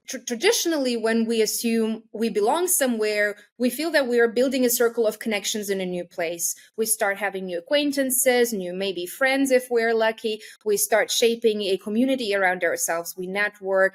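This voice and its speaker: Articulate woman from Russia, fairly high voice. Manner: measured, articulate, carefully chosen words. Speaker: guest, intercultural communication expert. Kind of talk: reflective interview answers.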